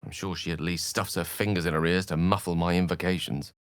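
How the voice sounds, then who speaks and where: A man's voice: low voice, steady tone, fairly quick, clean tone, no room sound, clean studio sound, close to an everyday voice. An actor voicing a learned, witty, self-assured male wizard in a video game, with a wry, theatrical and bookish way of speaking. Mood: wry, teasing. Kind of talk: video game character voice acting.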